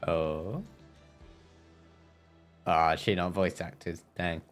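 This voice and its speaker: Male game streamer, deep register, jokey, reads lines aloud with stumbles, soft music underneath. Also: steady tone, drawn-out words. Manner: joking, stumbles over read lines. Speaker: live streamer. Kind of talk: game livestream, reading dialogue aloud and commenting.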